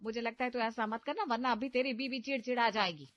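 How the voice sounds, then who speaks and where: A woman's voice: fairly high pitch, fast talker, no echo, muffled recording, soft background music, pitched above the performer's own voice, close to an everyday voice. A woman voicing a cheerful middle-aged mother-in-law who loves festivals, fussy and chiding.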